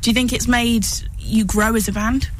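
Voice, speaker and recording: A woman's voice: on the high side, steady tone, normal speed, a little hiss or hum. A woman hosting a radio interview in a studio, speaking into a studio microphone. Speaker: radio host.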